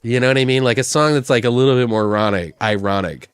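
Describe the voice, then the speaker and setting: A man co-hosting a comedy podcast, brainstorming casually in a rambling, profane way as he thinks out loud. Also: medium pitch, fast, smooth, no room sound. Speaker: podcast co-host.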